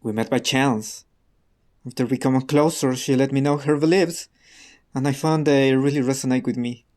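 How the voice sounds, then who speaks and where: An actor playing a guarded, defiant radical under questioning in a radio drama, terse and defensive. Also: male voice, mid-range voice, fairly even intonation, normal speed, darkish tone, natural voice. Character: guarded, defiant male radical being interrogated.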